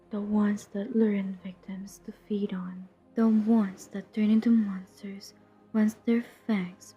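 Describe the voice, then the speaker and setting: A woman playing a centuries-old, guilt-ridden but determined vampire hunter in an intimate audio roleplay, speaking in a hushed, confessional, breathy voice that often drops to a whisper. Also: mid-range voice. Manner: hushed, confessional, halting, earnest. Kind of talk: intimate dramatic audio roleplay addressed to the listener.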